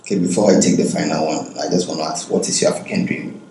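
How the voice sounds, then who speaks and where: Deep-voiced man asking questions in an echoey room. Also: fairly even intonation, fast, mellow. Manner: asks long rambling questions. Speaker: interviewer. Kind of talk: interview questions. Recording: very echoey room, faint background noise.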